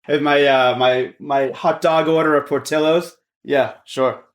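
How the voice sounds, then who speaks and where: A man's voice: fairly high pitch, steady tone, unhurried. A man in his forties co-hosting a podcast, talking sport in an easygoing way, full of fillers. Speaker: podcast co-host. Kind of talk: casual sports chat.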